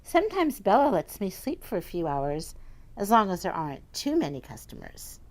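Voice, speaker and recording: A woman's voice: medium pitch, big pitch swings, normal speed, a little mellow, a little hiss or hum. A woman acting as a feeble old homeless lady who gives ominous warnings in a radio mystery drama.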